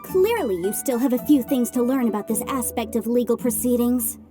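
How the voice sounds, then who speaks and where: A woman's voice: on the high side, a little fast, music in the background, natural voice. A woman playing a courteous, self-assured young legal adviser in a fantasy game, speaking formally and articulately. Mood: firm, lecturing. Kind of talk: anime fantasy game voice acting.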